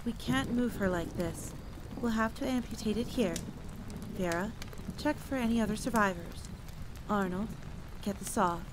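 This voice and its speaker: A woman voicing a gentle but commanding female field medic with a soft voice in an audio story. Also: mid-range voice, measured pace, lightly acted. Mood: firm, urgent. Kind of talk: acted audio story dialogue. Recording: rain noise behind.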